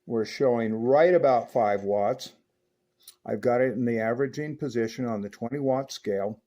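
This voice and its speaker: A man hosting a hands-on equipment review, explaining technical details in a measured, step-by-step way. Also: mid-range voice, warm tone, slight background noise. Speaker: host of a radio equipment review channel. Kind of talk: product review and technical demonstration narration.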